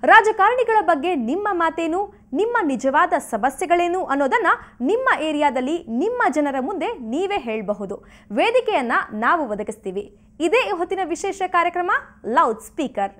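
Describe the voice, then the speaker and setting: A woman's voice: very high voice, sing-song, fairly quick, fairly bright tone. A woman presenting a television news programme, reading a scripted introduction.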